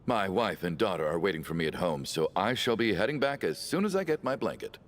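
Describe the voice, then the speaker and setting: A man's voice: low voice, sing-song, brisk. A man playing a courteous, old-fashioned family man in a video game, with formal, genteel speech.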